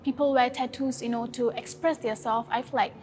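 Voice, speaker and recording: A woman's voice: on the high side, steady tone, brisk, a little mellow. A nineteen-year-old woman, a nursing student, speaking upbeat and casual in a panel discussion.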